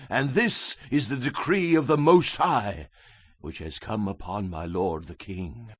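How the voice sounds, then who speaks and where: A man's voice: on the low side, a bit bright, husky, no room sound, exaggerated voice. Grave Hebrew prophet, voiced by a man, earnest oratory with sweeping intonation, dry scripture-drama sound. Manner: solemn, oratorical.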